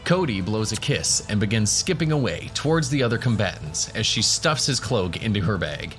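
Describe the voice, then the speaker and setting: A man's voice: on the low side, animated, normal speed. A man giving scripted narration for a fantasy audio drama. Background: music.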